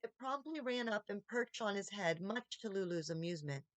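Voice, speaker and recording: A woman reading a children's story aloud as an expressive audiobook narrator. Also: mid-range voice, moderate pace, dry recording. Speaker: audiobook reader, channel host.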